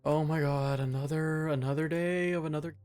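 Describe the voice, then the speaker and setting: A man's voice: a little high, fairly even intonation, fairly quick, darkish tone, soft background music. A man giving casual, exclamatory, gushing reaction commentary while streaming a video game.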